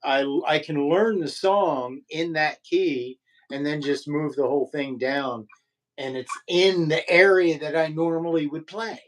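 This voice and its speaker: A man with a low voice in casual conversation, rambling and halting with many fillers and restarts. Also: fairly even intonation. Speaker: podcast co-host. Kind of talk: casual chat about guitar.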